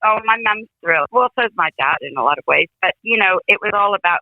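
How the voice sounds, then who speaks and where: A woman's voice: medium pitch, lively intonation, fast, no room sound. A woman from England, a guest songwriter, telling stories in a rambling, casual way over a phone line. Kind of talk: casual interview.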